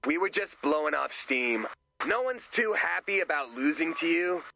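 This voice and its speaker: A high-pitched, anxious young camper in a video game. He babbles bluntly in a very sharp, forceful tone with a creaky, fried edge. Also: expressive, normal speed, slight background noise, full character voice, rough recording. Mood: smug, awkward. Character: nervous, tactless young male summer camper. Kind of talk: animated video game voice acting.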